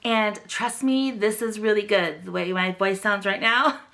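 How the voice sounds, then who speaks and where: A woman's voice: medium pitch, fairly even intonation, measured pace, vocal fry, pushed and bright. Upbeat woman just over a cold. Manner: upbeat, gushing and chatty. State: recovering from a cold, voice slightly rough. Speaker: craft channel host. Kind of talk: casual tutorial chat.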